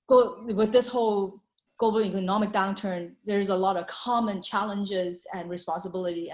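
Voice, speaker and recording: A woman talks through her slides on a video call, chatty and loose, full of fillers and restarts. Her voice sits mid-range, and a roomy echo hangs around it. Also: mid-range voice. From Hong Kong. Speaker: venture capital panelist. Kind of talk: presenting slides in an online panel.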